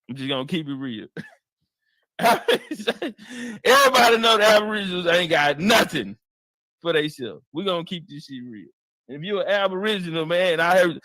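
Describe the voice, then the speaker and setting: Brief: man, bright forceful voice, bluntly ranting, dry close sound, repeats himself. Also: high voice, no room sound. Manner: blunt, repetitive, stalls with "uh". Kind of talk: casual rant.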